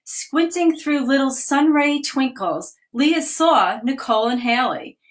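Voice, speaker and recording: Lady reading a kids' picture book on a live stream, bit high voice, gentle and clear. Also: lively intonation. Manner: gentle, clear read-aloud pacing, pausing to point out the pictures. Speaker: children's author reading aloud. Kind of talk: storybook reading aloud. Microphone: phone or webcam on a live video stream.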